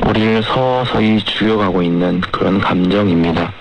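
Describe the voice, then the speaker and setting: Low, gravelly man on shortwave radio. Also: normal speed. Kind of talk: measured radio discussion. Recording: faintly noisy shortwave radio broadcast.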